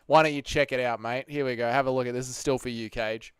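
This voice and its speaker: A young Australian man hosting a live stream, chatting with viewers in excitable, slangy, sweary banter. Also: medium pitch, fast talker, clean tone, no room sound, clean studio sound. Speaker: streamer host.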